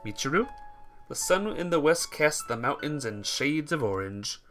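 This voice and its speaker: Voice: mid-range voice, lively intonation, moderate pace, a bit bright. A streamer reading a visual novel aloud, often stumbling over words and correcting the slip, with music behind. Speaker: streamer, game player.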